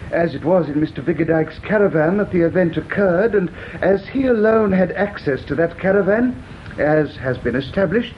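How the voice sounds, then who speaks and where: Radio drama coroner: raised register, precise official delivery, deliberate, faint noise behind. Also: male voice, high voice. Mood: solemn, official. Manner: formal, deliberate. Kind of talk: BBC radio drama acting, formal questioning and ruling. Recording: dull, slightly noisy radio recording.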